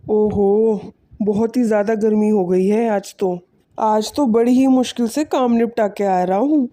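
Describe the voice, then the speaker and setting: A woman voicing a poor, weary, kind-hearted village farmer and father in an animated moral story. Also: medium pitch, a little slow, lightly acted. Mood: weary, resigned.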